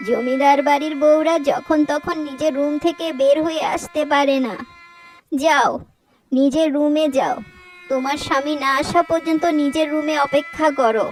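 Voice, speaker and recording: A woman acts a stern old lady of a grand house in a cartoon. Her voice is very high and commanding, scolding as she gives orders. Faint noise sits behind it. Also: moderate pace, a little mellow, natural voice. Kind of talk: Bengali cartoon story dialogue.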